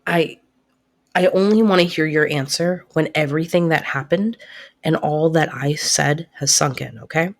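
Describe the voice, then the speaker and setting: Woman as devoted best friend in love: soft-spoken, slightly low voice, earnest and protective, intimate roleplay. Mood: embarrassed, nervous. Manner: earnest, reassuring, halting when flustered.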